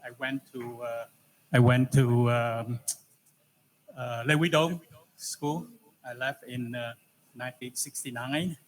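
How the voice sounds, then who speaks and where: A man in his seventies from Vietnam with a Vietnamese accent, giving an informal, halting talk of advice with many pauses. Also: mid-range voice. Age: 70s. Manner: halting, frequent pauses and "you know". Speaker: alumni speaker, technology entrepreneur. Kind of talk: informal introduction and advice to an audience. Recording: noisy live event room.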